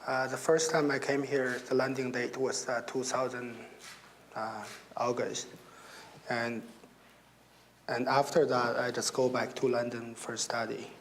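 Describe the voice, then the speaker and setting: A man from mainland China, a business owner and English speaker, talking haltingly on a panel. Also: mid-range voice, flat delivery, slight background noise. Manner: halting, many uhs.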